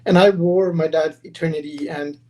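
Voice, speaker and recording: Mellow-voiced man telling stories casually. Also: a little high, fairly even intonation, moderate pace. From Pakistan and Los Angeles. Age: 30s. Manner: casual, friendly, storytelling. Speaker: guest, perfume brand founder. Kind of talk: relaxed interview answers.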